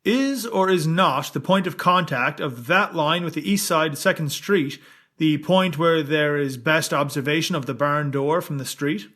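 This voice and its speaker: A man performs a persistent 1890s male defense attorney in an audio courtroom drama. His voice sits a little high. He questions formally and precisely, with steady insistence. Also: measured pace. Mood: probing.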